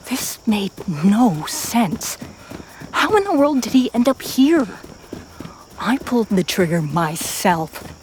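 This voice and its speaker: A woman reading a first-person story as a dramatic narrator, with intense pauses and hushed, breathy phrases. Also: medium pitch, a little hiss or hum. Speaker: narrator of a fan fiction audio story.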